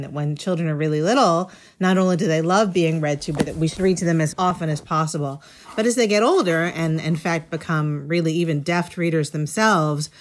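A woman with a notably low voice, speaking as a guest reporter. She explains in a conversational interview style.

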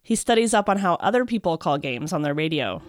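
A woman's voice: lowish voice, big pitch swings, fairly quick, background noise. A woman hosting a podcast, reading scripted narration and asking interview questions. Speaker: podcast host.

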